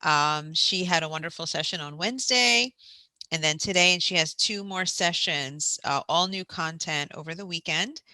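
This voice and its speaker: A woman's voice: lowish voice, moderate pace, pushed and bright, dry recording, rough recording. A woman hosting a festival session, giving friendly announcements and reading out a guest's prepared introduction. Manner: friendly, informative, reads a prepared bio. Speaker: festival host.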